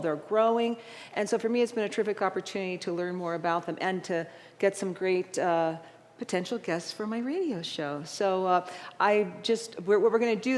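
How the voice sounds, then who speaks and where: A woman moderating a public panel, chatting easily through her introductions with a few fillers. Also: mid-range voice. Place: large event hall. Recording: long hall reverb.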